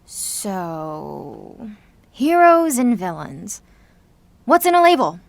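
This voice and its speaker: Teenage girl supervillain, bright and forceful, theatrical, sardonic, anxious underneath; podcast drama monologue. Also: on the high side, dry recording. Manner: theatrical, sarcastic, swearing. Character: seventeen-year-old girl supervillain, sarcastic, defiant, anxious. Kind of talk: audio drama monologue, recorded confession.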